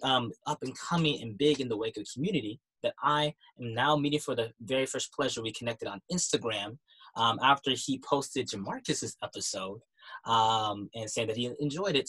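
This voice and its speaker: An enthusiastic male podcast host with a bright, forceful tone and a husky edge. He talks loosely and fills his pauses with plenty of fillers. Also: medium pitch, rough recording. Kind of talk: casual interview.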